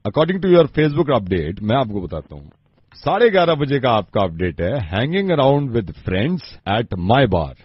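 A radio prankster playing a stern, impatient police officer who interrogates people over the phone in a bossy way. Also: male voice, mid-range voice, big pitch swings, slow, slightly rough, no room sound, muffled recording, voice pushed down, heavily acted. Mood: probing.

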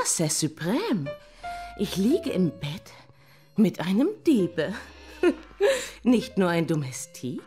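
An actress playing a wealthy, amorous married lady in a radio play, breathy, rapturous and affected, with touches of French. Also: lowish voice, mellow. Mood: thrilled, amused.

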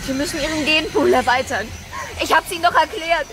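A woman's voice: high voice, fairly quick, music in the background, lightly acted. An actress playing a grim, resigned female scientist in a radio drama, speaking bluntly under pressure. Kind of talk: German radio drama acting. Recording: studio radio play with loud noise and crowd effects.

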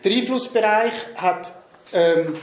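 A fairly high-voiced man in his thirties with a Swiss German accent reads a prepared talk from his notes while seated at a table. He often stops on difficult names with ums and restarts. The sound is roomy, with faint noise in the background. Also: slow. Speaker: presenter. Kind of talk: reading a prepared talk at a discussion evening. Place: meeting room in a large hall, seated at a table.

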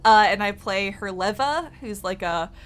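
A woman's voice: animated, moderate pace, fairly bright tone. A young woman streaming a game, chatting casually, laughing often and trailing off into restarts. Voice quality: low gravelly voice. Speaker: streamer and voice actor. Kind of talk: casual chat while gaming.

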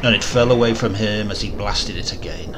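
Man reading a tale over loud hiss. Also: fairly low pitch, a little fast, vocal fry. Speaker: storyteller. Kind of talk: dramatic reading of a sci-fi horror story. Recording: loud steady hiss behind the voice.

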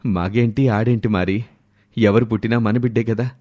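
A man voicing a kind, doting village husband and father in a storybook tale, gentle and reassuring. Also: fairly low pitch, fairly even intonation, moderate pace, close to an everyday voice. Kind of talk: animated storybook character acting.

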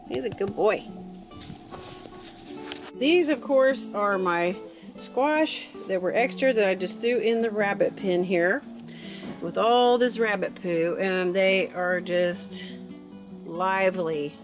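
A woman's voice: mid-range voice, poor audio. A woman chatting cheerfully as she walks through her backyard garden, filming with a handheld phone. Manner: chatty, cheerful, thinks aloud. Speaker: homesteader vlogger. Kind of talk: casual walking garden tour. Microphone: phone camera held while walking. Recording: guitar music underneath.

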